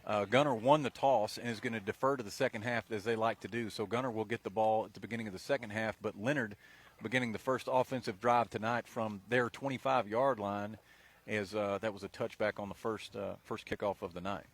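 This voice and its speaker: Color man, deep-ish voice, measured and folksy delivery. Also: fairly even intonation. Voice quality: low voice. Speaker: color commentator. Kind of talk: local radio football commentary.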